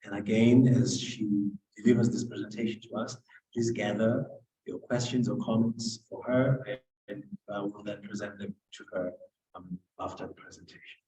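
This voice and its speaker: A man's voice: on the low side, steady tone, moderate pace, dark tone. A man acting as conference moderator, formally introducing the next speaker. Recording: echoey room.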